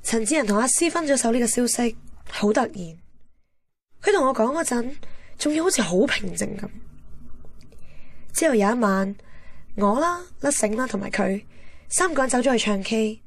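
A woman's voice: on the high side, expressive, normal speed, long pauses, no echo. An actress playing a candid, guarded young woman with a Hong Kong accent, frank and composed in a radio drama. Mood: reflective. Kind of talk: radio drama narration and dialogue.

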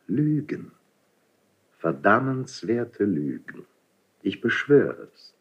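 Scheming court priest, very low breathy voice, slow, solemn, unctuous, insinuating, 1970s radio drama. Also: male voice, sing-song, dull audio, full character voice. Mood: sly, insistent. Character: insinuating, unctuous royal priest, feigning reluctance while accusing. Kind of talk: 1970s German radio play, classical verse acting.

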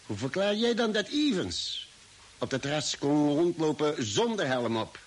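An actor playing a calm, reasoning spaceship doctor in a science-fiction radio drama, speaking deliberately and probingly. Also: male voice, a little high, slightly rough, exaggerated voice. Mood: insistent. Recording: hissy old radio drama recording.

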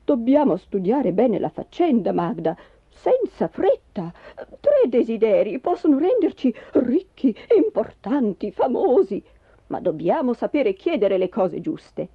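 A woman's voice: on the high side, big pitch swings, a little slow, breathy edge, dry recording, phone-quality sound, heavily acted. A woman voicing a grumpy, irritable husband in a storybook tale, grumbling, blustering and plaintive. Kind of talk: theatrical storybook character voice.

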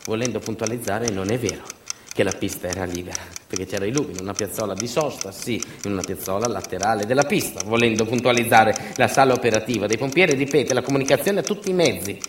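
A man's voice: fairly low pitch, fast. A man narrating a theatrical documentary monologue in an urgent, clipped, emphatic way. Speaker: stage narrator and storyteller. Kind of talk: theatrical documentary monologue, acting out quoted dialogue. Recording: roomy, distant mic, faint noise.

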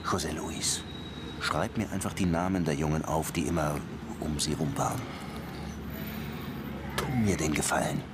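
An actor playing a brusque, world-weary, sardonic Havana police lieutenant in a radio crime play, terse and colloquial. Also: male voice, rumbling low, steady tone, moderate pace, pauses often, very noisy. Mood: coaxing, confidential. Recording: music behind the voice.

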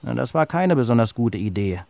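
A man's voice: medium pitch, lively intonation, a little fast, creaky, mellow, dry recording. A man voicing a hearty, cautioning uncle in a children's story. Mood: chiding. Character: adult uncle, hobby treasure hunter, hearty and cautioning.

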